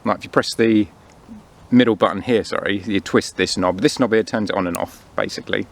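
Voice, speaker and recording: Low-voiced casual man vlogging. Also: fairly quick, gravelly, a little hiss or hum. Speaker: vlogger reviewing outdoor gear. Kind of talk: casual unscripted product review and chat. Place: outdoors in autumn woodland.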